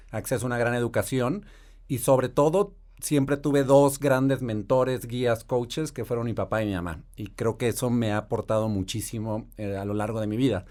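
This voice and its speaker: A Mexican man, probably in his thirties, a private equity investor telling his career story in a measured, articulate way. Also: mid-range voice, fairly even intonation, a little mellow. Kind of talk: podcast interview storytelling.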